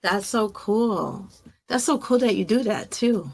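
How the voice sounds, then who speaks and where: A woman's voice: mid-range voice, measured pace, gravelly. A middle-aged woman hosting a casual interview, informal and effusive, often repeating her phrases. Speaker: interview show host.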